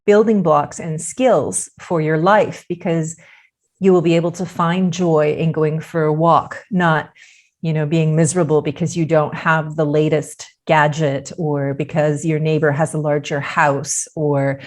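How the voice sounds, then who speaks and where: Low-voiced woman, thoughtful guest. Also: steady tone. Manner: thoughtful, measured, many "you know" fillers. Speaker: author interviewed as guest. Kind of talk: podcast interview.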